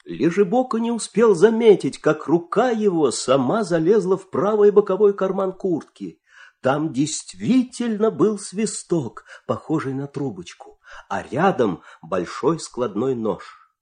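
A man narrating a children's fairy-tale radio play with dramatic, expressive storytelling delivery. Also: a little high, unhurried.

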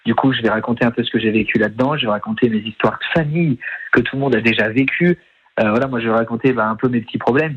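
A man's voice: on the low side, muffled recording, background noise. A young man from France, a comedian guest on radio, speaking casually with many fillers and hesitations. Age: young adult. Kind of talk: radio interview.

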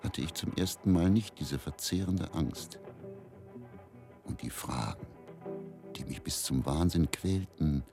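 A haunted, resigned man in a radio drama monologue. His voice is extremely low and moves slowly, with deliberate pauses. Music sits faintly behind him. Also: gravelly, exaggerated voice. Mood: resigned, reflective. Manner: measured, deliberate, pausing. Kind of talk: slow first-person dramatic monologue.

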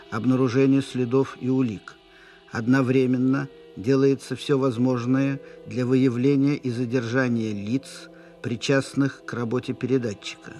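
An actor playing an officer who reads out an urgent military dispatch in an official, measured, impersonal manner for an audio play. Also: male voice, mid-range voice, a little mellow, close to an everyday voice. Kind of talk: formal read-out dispatch in a Russian audio play.

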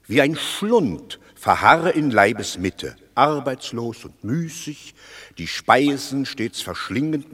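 An actor playing a wily, eloquent Roman patrician in a radio drama, theatrical and rhetorical, placating rebels with fables. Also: male voice, on the low side, husky, full character voice. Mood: wry storytelling. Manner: theatrical, deliberate, rhetorical, swinging from hushed to declaiming. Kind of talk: classical German stage-style radio drama acting. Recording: long reverberant hall.

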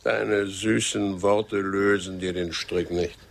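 An actor playing a gloating, triumphant Comanche chief in a radio drama, with a declamatory, taunting delivery. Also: male voice, sits low, fairly even intonation, slow pace, creaky, heavily acted.

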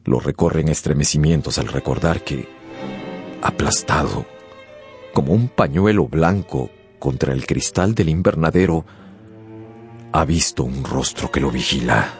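A man with a deep voice narrates a scripted radio novel. His delivery is suspenseful and measured in phrasing, with lively pitch movement, over faint music. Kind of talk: dramatic scripted audiobook narration.

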